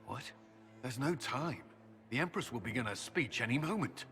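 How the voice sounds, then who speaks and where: A man's voice: medium pitch, expressive, normal speed, fairly bright tone, natural voice. Man acting an urgent advisor over music. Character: earnest, anxious military advisor. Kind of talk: video game voice acting.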